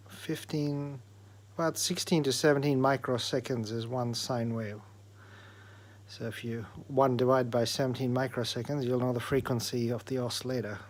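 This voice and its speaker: Male presenter, informal hands-on tech demo, explains methodically in a mid-pitched voice. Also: a little hiss or hum. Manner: explanatory, step by step. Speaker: presenter of an electronics repair demo. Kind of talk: informal technical demonstration.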